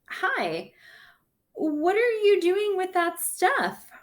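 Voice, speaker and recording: A woman's voice: very high voice, big pitch swings, drawn-out words, pitched above the performer's own voice, heavily acted. A woman reading a storybook as a friendly, curious young girl with a forthright, inquisitive manner.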